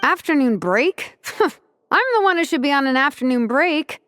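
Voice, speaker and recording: Laid-back, highish-voiced young woman. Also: animated, moderate pace, fairly bright tone, a little hiss or hum. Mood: relaxed, sleepy. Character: laid-back, nap-loving young woman, confident and breezy. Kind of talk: English anime-style video game dub.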